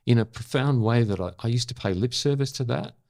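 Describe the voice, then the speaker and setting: A seventy-year-old Australian man talking reflectively as an interview guest, thoughtful and halting, repeating words for emphasis. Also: medium pitch, creaky, a little mellow, no room sound, studio quality. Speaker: interview guest, former journalist and actor.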